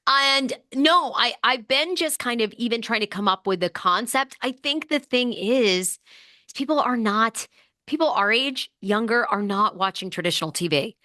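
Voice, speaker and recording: A woman podcaster in casual chat, slightly hoarse from losing her voice, talking fast and animated with lots of fillers. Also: on the high side, dry recording, studio quality. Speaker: podcast host and guest. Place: Los Angeles podcast studio.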